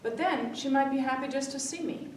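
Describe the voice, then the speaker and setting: Woman doing story time for kids, expressive read, super echoey room, bit of noise. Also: fairly quick. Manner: expressive, acts out the dialogue. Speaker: library story-time reader. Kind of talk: read-aloud children's storytelling. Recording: echoey room, distant mic, faint rumbly noise.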